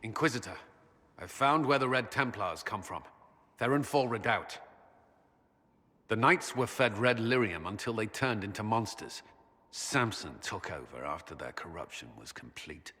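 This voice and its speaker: Deep, husky, grave commander. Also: male voice, lively intonation, unhurried, pushed and bright, in a large hall, full character voice. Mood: grave, urgent. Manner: serious, earnest, military briefing. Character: grave, duty-bound military commander, adult man, earnest. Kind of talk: video game character dialogue.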